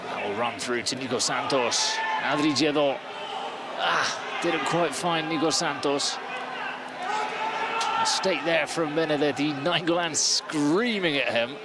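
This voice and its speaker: English-accented man calling football over a loud crowd. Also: fairly high pitch. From Spain. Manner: measured, analytical calling of the action. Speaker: football commentator. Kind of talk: play-by-play commentary. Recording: loud crowd and stadium noise.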